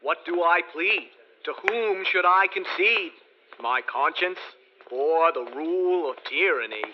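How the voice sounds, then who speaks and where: A man playing a troubled king charged with treason, speaking in a measured, earnest way in verse for a radio drama. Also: a little high, natural voice. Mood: brooding. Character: troubled modern king on trial. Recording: dull lo-fi audio with faint hiss.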